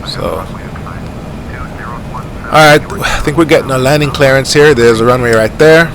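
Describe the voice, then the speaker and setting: A middle-aged Jamaican man talks through a simulated flight in an unhurried, rambling way, dropping "uh" and "you know" as he goes. His pitch sits in an ordinary middle range. A steady, clearly audible aircraft engine rumble runs under his voice. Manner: rambling, easygoing, many fillers. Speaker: flight sim streamer. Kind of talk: casual gameplay commentary.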